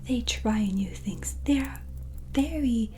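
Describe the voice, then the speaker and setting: Whispery, slow-talking woman in soft ASMR. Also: a little high, a little hiss or hum. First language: not English. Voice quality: breathy, whispered. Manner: gentle, unhurried, soothing. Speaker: ASMR roleplayer giving a tarot reading. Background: soft relaxing music.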